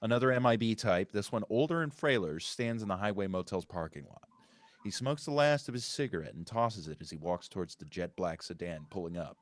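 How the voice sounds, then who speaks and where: A man's voice: lowish voice, lively intonation, a little fast, dry recording. A man reading stage directions as scripted narration at a live table read, occasionally correcting himself.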